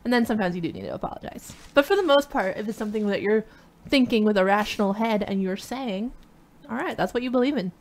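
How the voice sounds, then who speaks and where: Woman with a light, high, small voice, chatty and opinionated co-host, casual chat. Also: quick pace. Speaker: podcast co-host.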